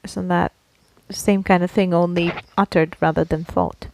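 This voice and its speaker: A woman's voice: on the low side, level tone, moderate pace, vocal fry, a little mellow, no echo. A woman co-hosting a casual podcast, pushing back argumentatively with the odd mild swear word. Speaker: podcast co-host. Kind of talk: casual argumentative banter between co-hosts.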